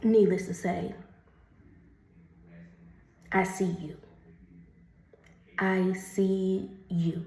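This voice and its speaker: A woman doing a casual oracle card reading, chatty and laughing often, who calls the listener honey and reads the card text slowly and carefully. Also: mid-range voice, roomy sound.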